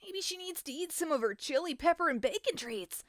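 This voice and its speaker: A woman's voice: very high voice, a little fast, no room sound, pitched above the performer's own voice. A woman voicing an eager, chatty young male animal reporter in a children's story. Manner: eager and excitable.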